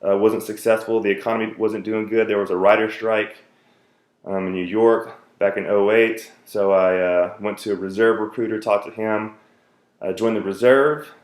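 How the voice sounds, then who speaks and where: A man's voice: lowish voice, steady tone, normal speed, reverberant. A man in his thirties, from the United States, giving an earnest self-introduction speech for a class, with many fillers and restarts.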